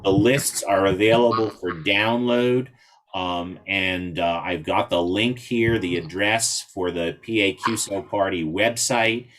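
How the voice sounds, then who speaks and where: A man's voice: low-pitched, a little slow, a bit bright, slight background noise. A man giving an informal instructional presentation to a club as an invited speaker, explaining things step by step. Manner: explanatory, spells out codes in phonetic alphabet.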